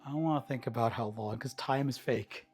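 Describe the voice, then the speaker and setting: A slightly hoarse man chatting casually with viewers while cooking in his home kitchen, rambling in an easygoing way and chuckling often. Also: mid-range voice, brisk, soft background music. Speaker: streamer.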